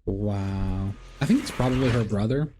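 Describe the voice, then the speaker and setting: Mellow-voiced man, offhand game streamer. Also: lowish voice, normal speed, a little hiss or hum. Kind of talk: casual gameplay commentary, reacting to the story.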